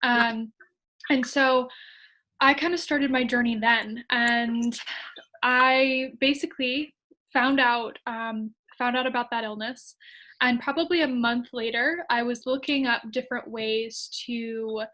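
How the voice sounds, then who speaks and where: A woman's voice: on the high side, fairly even intonation, slow, pushed and bright, low-quality recording. A woman from upstate New York, a digital marketer and coach, chatting eagerly as an interview guest and laughing often. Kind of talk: casual interview.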